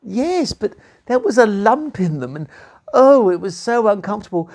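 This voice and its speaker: Storyteller doing a dainty princess, cooing, breathy, lively pitch. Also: normal speed. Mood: aching, complaining. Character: dainty, delicate young princess.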